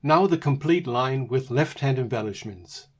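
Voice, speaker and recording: Man narrating a music lesson, mid-pitched. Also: expressive, moderate pace. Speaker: music teacher. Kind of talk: scripted instructional narration.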